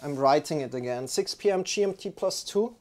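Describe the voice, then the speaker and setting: Young German yoga teacher, casual livestream chat, clip-on mic, loose and rambling. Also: male voice, on the high side, lively intonation, brisk, darkish tone. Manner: rambling, friendly, restarts often. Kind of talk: casual chat with live viewers. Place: indoor room, sitting on a yoga mat.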